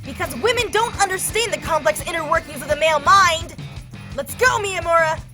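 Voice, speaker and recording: A woman's voice: extremely high pitch, fairly quick, music in the background. A woman playing a brash, irritable teenage schoolboy stuck in a girl's body in an anime dub, blunt and cocky.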